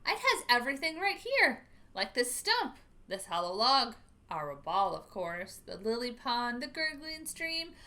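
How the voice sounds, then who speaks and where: A woman's voice: a little high, sing-song, a little slow, bright, forceful tone, full character voice. A woman voicing a cautious, grumbling homebody goose from a picture book, with dramatic exclamations and questions.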